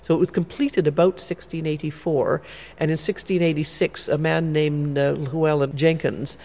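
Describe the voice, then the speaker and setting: A man speaking as a church historian, explaining history in a measured, knowledgeable way inside a church. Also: a little high, level tone, a little mellow, big-room sound. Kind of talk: explanatory interview answers.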